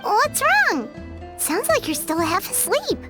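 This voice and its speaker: Tiny squeaky fairy sidekick, perky kiddie voice, super high and bouncy, anime game, music behind. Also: female voice, huge pitch swings, slow, exaggerated voice. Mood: curious, chatty. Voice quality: squeaky, childlike. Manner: exclamatory, refers to self in third person. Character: small floating fairy companion, childlike, perky food lover.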